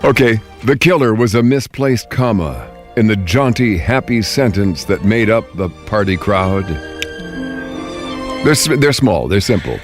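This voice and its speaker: A man's voice: lowish voice, lively intonation, unhurried. A man hosting a radio show with comedic banter and a theatrical, jokey delivery. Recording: music bed under the voice. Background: music.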